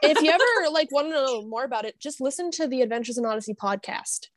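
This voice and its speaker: A woman's voice: high voice, lively intonation, quick pace, no echo. A woman chatting casually in a podcast conversation. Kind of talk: casual podcast chat about radio dramas.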